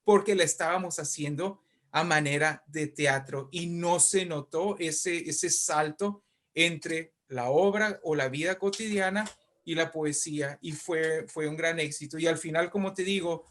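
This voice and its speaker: A man's voice: fairly high pitch, a little slow, fairly bright tone. A man speaking as a guest writer and poet in a conversational interview, telling literary anecdotes in an effusive, emphatic way and often repeating words.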